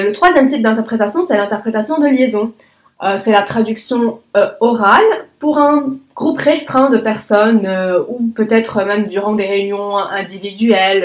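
A woman's voice: mid-range voice, roomy sound. A woman from Lebanon whose first language is Arabic, a conference interpreter explaining her work methodically with frequent filler sounds.

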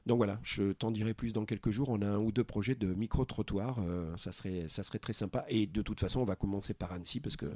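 A man from France in a casual interview, talking earnestly and often pausing to find his words. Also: fairly low pitch, steady tone, mellow, dry recording. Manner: earnest, searching for words with many pauses. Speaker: podcast founder, interview guest.

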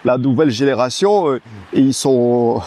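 A man from French Guiana talking casually as an interview guest, relaxed and hesitant, with frequent restarts and fillers. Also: mid-range voice, a little slow.

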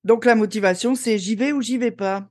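A woman's voice: on the high side, a little fast, a little mellow. A woman hosting a podcast as a school coach, reading a scripted introduction and then chatting informally with fillers.

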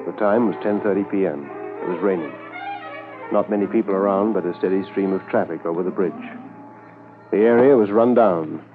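A man's voice: deep voice, close to an everyday voice. An actor playing a sombre, businesslike police inspector narrating his case tersely in a 1950s radio drama. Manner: terse, measured, matter-of-fact. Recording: muffled 1950s radio transcription, faint music.

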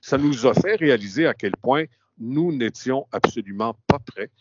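Male veteran broadcaster from Canada, measured delivery, mid pitch. Dull, dry recording. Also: animated, noisy background. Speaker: veteran broadcast journalist guest. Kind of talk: interview talk.

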